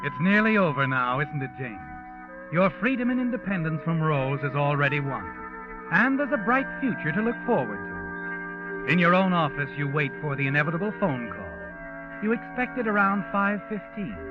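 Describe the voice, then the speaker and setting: Sinister radio narrator, taunting and knowing, highish voice, dramatic swoops, music behind, dull vintage recording. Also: male voice, big pitch swings, normal speed. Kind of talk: 1940s radio suspense narration.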